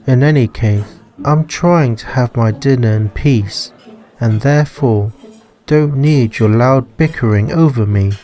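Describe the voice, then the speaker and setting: Man putting on a prim, deadpan woman, unhurried. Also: medium pitch, soft background music, lightly acted. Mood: prim, unimpressed. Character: emotionless, prim woman, curt and fussily formal. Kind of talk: visual novel voice acting.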